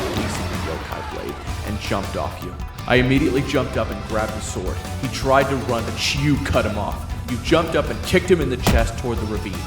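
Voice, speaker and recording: A man narrating an audio roleplay, telling the action to the listener in the second person and swearing now and then. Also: fairly low pitch. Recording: echoey room, loud music underneath. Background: loud game soundtrack music.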